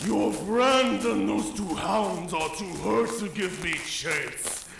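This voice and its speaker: Slow, gravelly, savage werewolf warrior. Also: male voice, high voice, background noise, exaggerated voice. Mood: menacing. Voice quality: gravelly, raspy growl. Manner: slow, menacing, theatrical, formal speech. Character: savage proud male werewolf warrior, honor-bound and taunting. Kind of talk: cinematic audio roleplay acting.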